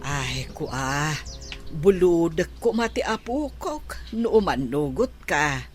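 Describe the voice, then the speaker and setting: Slow-spoken, apologetic aunt. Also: female voice, low-pitched, sing-song, slightly rough, faint music behind, full character voice. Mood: tentative. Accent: Ilocano. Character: apologetic, kindly middle-aged aunt, mother-in-law. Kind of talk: Ilocano radio drama acting. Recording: faint noise behind the voice.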